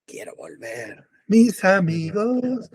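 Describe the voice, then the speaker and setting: A Venezuelan-born man chatting as a radio guest, animated and full of fillers and rephrasing. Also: sits high, slow, husky, no room sound. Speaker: guest, book editor. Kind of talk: casual radio conversation. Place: remote call or studio, lives in Europe.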